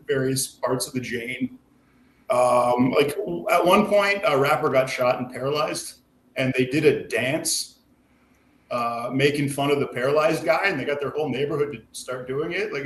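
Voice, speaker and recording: Man, mid pitch, earnest, halting, roomy echo, faint hiss. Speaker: guest. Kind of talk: casual livestream chat.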